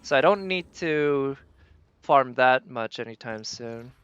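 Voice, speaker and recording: A woman giving casual livestream game commentary, thinking aloud in halting fragments as she plays. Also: very deep voice, expressive, clean tone, no room sound, lo-fi sound. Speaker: game streamer.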